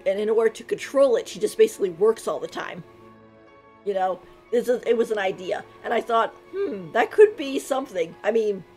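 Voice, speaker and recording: A woman's voice: mid-range voice, a little mellow. A woman giving casual live commentary as a game streamer, with giggly, effusive reactions. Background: game music.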